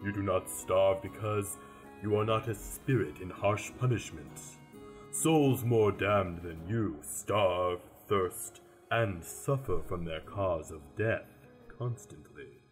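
Man playing very deep, grave Death. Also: soft background music. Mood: patient. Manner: formal, measured, deliberate. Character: stern, courteous Death, grave male reaper. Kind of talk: radio play acting.

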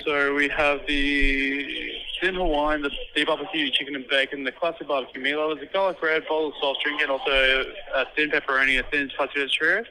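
A man's voice: on the high side, little pitch movement, fairly quick, few pauses. A man taking an order on a phone line, obliging, in a customer-service style. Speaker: pizza shop order taker. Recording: noisy, sharp phone call on speaker.

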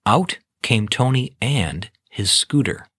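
A man reading scripted children's storybook narration in a measured, expressive way. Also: medium pitch, slightly rough, no echo, clean studio sound.

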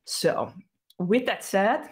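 A woman's voice: mid-range voice, animated, very slow, a little mellow. A woman in her thirties with a Dutch accent, walking through a technical demo over a webcam from a small room. Her talk is casual and loose, full of fillers and restarts. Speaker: developer advocate presenter. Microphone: webcam video call.

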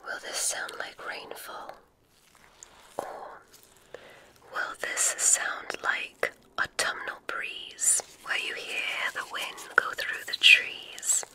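A woman playing a gentle witch examiner in an ASMR roleplay, whispering in a soothing, deliberate, mysterious way. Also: close to an everyday voice, rough recording. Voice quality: breathy, unvoiced whisper.

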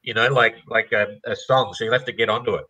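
An older man interviewing an entrant over a video call, chatty and quick to laugh, often repeating words. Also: fairly low pitch, fairly even intonation, bright, forceful tone, low-quality recording. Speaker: race organizer interviewing an entrant. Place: home room indoors.

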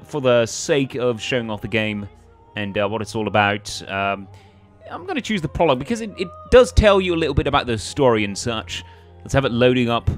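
A man hosting a let's play, commenting casually in a hesitant, rambling way. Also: mid-range voice. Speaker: gaming video host. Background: faint game music.

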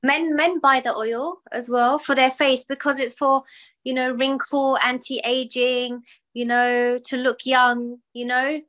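A woman's voice: high voice, level tone, measured pace, a bit bright. Chatty woman on a home video call. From Pakistan. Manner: chatty, with frequent "you know" and "um". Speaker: small business owner, client. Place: living room at home.

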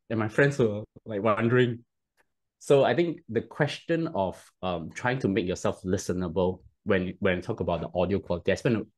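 Deep-voiced Singaporean man explaining. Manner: explains at length with many restarts and rhetorical "right?". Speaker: podcast guest. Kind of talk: casual interview talk. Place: home room, video call. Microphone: headset.

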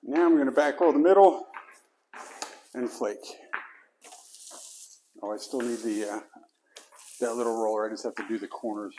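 A man giving casual how-to instructions while he works, talking himself through each step and dropping to quiet mutters. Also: mid-range voice, moderate pace, long pauses, slight background noise, low-quality recording. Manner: talks to himself mid-task, drops to mutters. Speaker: flooring installer demonstrating. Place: basement, indoors.

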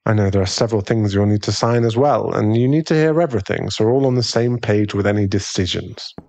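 A man acts out an aristocratic British werewolf fiancé for an ASMR audio drama. The voice is a fairly low male one, affectionate and polite, with a gentle, unhurried delivery. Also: gravelly. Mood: businesslike, calm. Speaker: ASMR boyfriend voice actor. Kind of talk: ASMR boyfriend audio roleplay.

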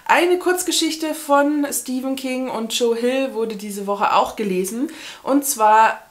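A woman's voice: on the high side, slow. A woman in her thirties chatting casually and informally to camera as a book vlogger. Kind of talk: casual chat to camera about books. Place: small indoor room with bookshelves.

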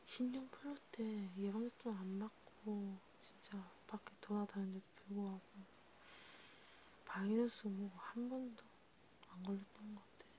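A woman playing a sympathetic vampire counselor in a whispered ASMR role play, breathy and intimate, talking casually and haltingly. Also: medium pitch, steady tone, warm tone, a little hiss or hum, natural voice, low-quality recording. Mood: soft, reflective. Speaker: ASMR creator.

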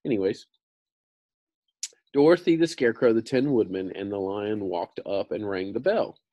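A man's voice: on the low side, expressive, normal speed, no room sound, muffled recording. An American man in his thirties reading a book aloud in an informal, slangy way with casual asides. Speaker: read-aloud storyteller.

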